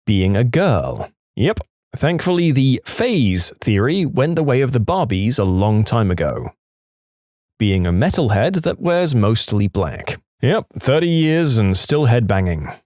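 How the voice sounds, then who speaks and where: A man's voice: medium pitch, very animated, a little slow, a little mellow, no room sound, clean studio sound. A man narrating listener stories for a channel in a punchy, upbeat scripted read.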